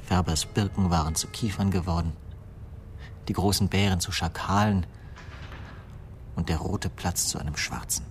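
An actor playing an obsessed, bitter idealist confessing to murder in a radio play, with an intense, fervent delivery. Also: male voice, deep voice, steady tone, moderate pace, long pauses, slight background noise. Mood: hushed confession. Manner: intense, fervent, swinging from hushed to raised voice. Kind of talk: 1990s German radio play acting.

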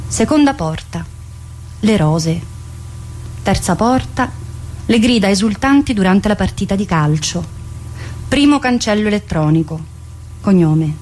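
A woman's voice: medium pitch, darkish tone. A woman performing a dramatic monologue in short, declamatory fragments, like a list of remembered phrases. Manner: clipped, declamatory phrases, shifting between voices. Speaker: writer and solo stage performer.

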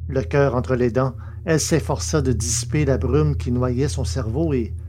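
A man's voice: medium pitch, fairly even intonation, moderate pace, mellow. A man reading scripted literary narration for a science fiction story, as its narrator.

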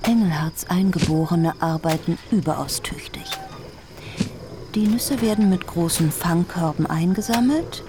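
A colonial trading boss giving a composed, matter-of-fact account in a radio play. Her voice is on the low side, and clear background noise runs behind her. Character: businesslike colonial trading-company owner reporting on a supplier. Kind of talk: scripted radio play narration.

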